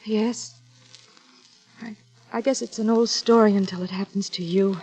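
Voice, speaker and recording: A woman's voice: mid-range voice, steady tone, darkish tone, breathy edge. A woman playing a hurt, nervous murder suspect in a 1950s radio drama, answering hesitantly. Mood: hurt, anxious. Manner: hesitant, halting, subdued. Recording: muffled vintage radio, music behind.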